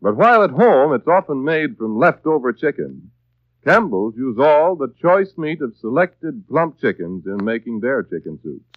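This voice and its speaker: A man's voice: medium pitch, very animated, moderate pace, no echo. A man announcing and selling in polished, emphatic, folksy 1930s radio advertisement style. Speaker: radio announcer and sponsor pitchman. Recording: dull vintage 1930s radio recording.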